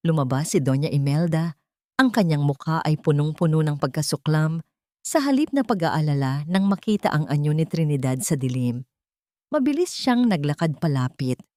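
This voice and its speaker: A woman's voice: low-pitched, a little mellow, no room sound, studio quality. A woman narrating a scripted audiobook story in a dramatic storytelling style.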